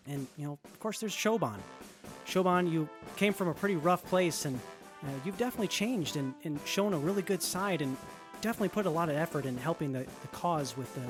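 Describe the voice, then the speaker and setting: An earnest, easygoing survivor from an audio-drama wasteland, voiced by a man, thanking his friends in loose, rambling speech. His voice sits slightly high, and music runs behind him. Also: lightly acted. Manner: casual, earnest, lots of fillers. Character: earnest young male wasteland radio survivor. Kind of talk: in-character audio drama farewell.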